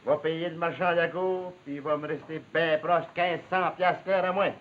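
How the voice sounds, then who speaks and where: Male actor, folksy grateful camp boss, Quebec accent, highish voice, phone-like vintage recording. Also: steady tone, moderate pace, natural voice. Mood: pleased. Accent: Quebec French. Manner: folksy, jovial. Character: grateful, good-humoured colonist running a logging camp. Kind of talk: 1950s television drama acting. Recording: thin, phone-like, noisy 1950s soundtrack.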